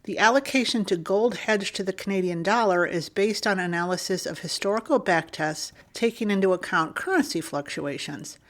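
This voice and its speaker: A woman co-hosting a podcast, reading a listener's email aloud in a steady, clear manner. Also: lowish voice.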